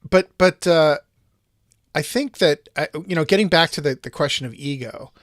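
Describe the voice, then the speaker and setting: A man with a slightly higher voice hosts a casual podcast and talks in long, winding thoughts that loop back on themselves. The sound is dry and close, with no room around it. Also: moderate pace, no room sound. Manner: thoughtful, rambling with restarts and asides. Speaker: podcast host.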